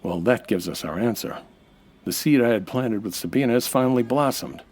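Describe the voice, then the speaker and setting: A man's voice: mid-range voice, fairly quick, darkish tone, lightly acted. A man playing a wry American nightclub owner and sleuth in old-time radio noir style, dry, tough and slangy. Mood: wry narrating.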